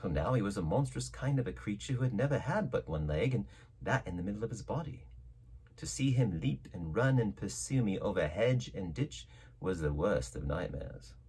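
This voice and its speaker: A man reading a classic story aloud as a narrator, with theatrical, measured phrasing and dramatic delivery. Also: fairly low pitch, animated, darkish tone, husky, rough recording. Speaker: audiobook narrator.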